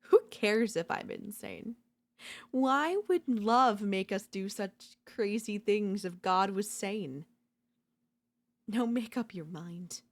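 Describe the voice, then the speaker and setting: A woman playing an obsessive, domineering yandere in a dark ASMR roleplay, seductive and smug, slow and teasing, slipping into whispers. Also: medium pitch, expressive. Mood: menacing devotion. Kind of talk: dark ASMR audio roleplay, intimate dramatic acting.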